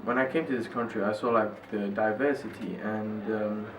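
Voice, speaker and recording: A man's voice: fairly low pitch, steady tone, normal speed. A young man from Italy, talking hesitantly with many filler words in an interview. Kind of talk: personal reflection in interview. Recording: echoey, distant mic with loud ambient noise.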